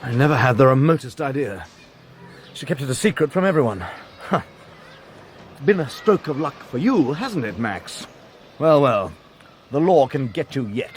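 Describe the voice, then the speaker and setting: Guy acting bitter sneering british blackmailer, lively swooping voice, radio drama, bit of traffic noise. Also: mid-range voice, normal speed, pauses often, natural voice. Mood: mocking, bitter. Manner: sardonic, taunting. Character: sneering, bitter male blackmailer with mocking bravado.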